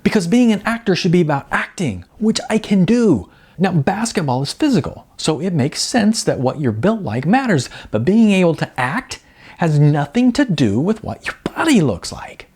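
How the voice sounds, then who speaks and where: A male narrator puts on an intense young-at-heart actress character from an audiobook. She speaks earnestly and emphatically, pitched up with animated swings. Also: moderate pace, breathy edge, heavily acted. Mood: frustrated ranting. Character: intense, frustrated woman, aspiring actress.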